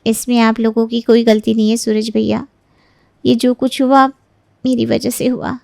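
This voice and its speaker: A woman acts out a meddling neighbour for a dramatized story. She is sly and gossipy, talking persuasively in a fairly high voice, recorded dry and close. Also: little pitch movement, normal speed, creaky, a little mellow, no echo. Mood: remorseful. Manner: insinuating, persuasive. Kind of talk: Hindi audio story character acting.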